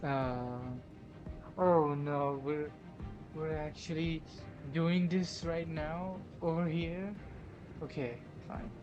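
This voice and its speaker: Slow casual gamer commentary, medium pitch, low-key, hesitant, quiet mic, game music over it. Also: level tone, dull audio. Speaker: gaming commentator. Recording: quiet microphone under loud game audio.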